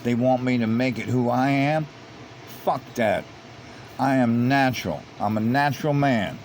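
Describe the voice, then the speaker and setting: A man's voice: mid-range voice, a little slow, a little mellow, a little hiss or hum. A man commenting on online comments, reading them out and repeating himself as he grows heated and emphatic. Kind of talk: casual commentary.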